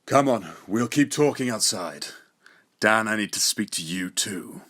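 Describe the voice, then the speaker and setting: A man's voice: fairly low pitch, expressive, a little slow, fairly bright tone. A man playing a stern, authoritative slayer leader and father in an amateur audio drama. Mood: confident.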